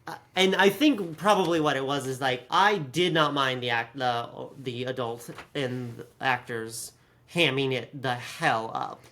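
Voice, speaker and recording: A man hosting a podcast in casual, sardonic chat, slangy and animated, acting out imagined reactions as he goes. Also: mid-range voice. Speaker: podcast host.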